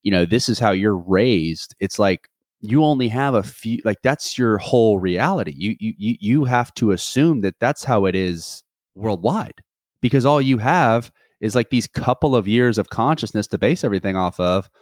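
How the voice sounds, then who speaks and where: A man's voice: lowish voice, expressive, normal speed, a little mellow, no echo, clean studio sound. A man in his twenties talking through a reflective solo podcast, earnest and rambling, with many restarts and fillers. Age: 20s. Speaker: podcast host.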